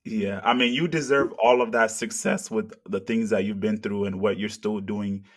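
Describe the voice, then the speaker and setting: Earnest low-voiced male interviewer from Africa. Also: fairly even intonation, normal speed. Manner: earnest, many "you know" and "kinda". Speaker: interviewer, channel host. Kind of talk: casual interview questions.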